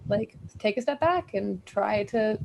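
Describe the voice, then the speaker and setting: Woman, educator, reflective, chatty fillers, gravelly, faint noise. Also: mid-range voice, animated, unhurried, a little mellow, lo-fi sound. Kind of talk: group discussion.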